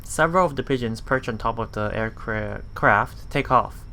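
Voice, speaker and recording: A man reading a visual novel aloud as a let's play host, halting and self-correcting, with muttered casual asides. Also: mid-range voice, bright, forceful tone, slight background noise.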